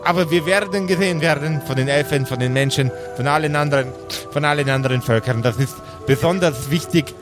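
A man's voice: fairly high pitch, a little fast, natural voice. Man as a pompous dwarf orator over music. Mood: fervent. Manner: pompous, florid, stumbling over grand words. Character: pompous, rambling male dwarf philosopher giving a speech. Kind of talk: improvised audio play. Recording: music behind the voice.

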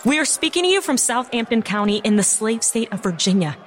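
An urgent woman correspondent in a radio drama, reporting gravely from the field. Her voice sits fairly high, with faint noise and outdoor ambience around it. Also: sing-song, brisk. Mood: urgent, serious. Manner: grave, earnest on-scene reporting. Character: urgent woman broadcast reporter amid a slave uprising.

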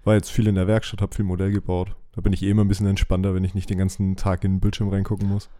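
A man co-hosting a podcast in casual chat, relaxed and unhurried in how he talks. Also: low voice, no echo, studio quality. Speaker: podcast co-host.

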